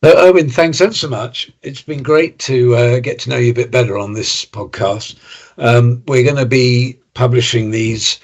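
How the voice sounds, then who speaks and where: A man's voice: mid-range voice, moderate pace. A man hosting a podcast interview, friendly and thoughtful, summarizing what his guest says. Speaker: podcast host.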